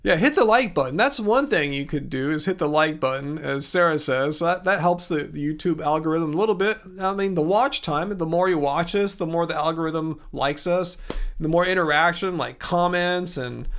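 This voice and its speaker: A man's voice: a little high, normal speed, a bit bright. A middle-aged man hosting a livestream, reading chat comments and answering in a rambling, casual way with lots of fillers. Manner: chatty, rambling, many fillers and restarts.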